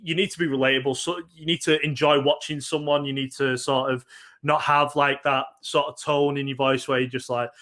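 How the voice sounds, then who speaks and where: A young man from Manchester with a Mancunian accent, giving a casual workshop talk on getting into esports. He pauses often with ums and repeats words as he thinks. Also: medium pitch, level tone, pushed and bright. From Northern England, Manchester.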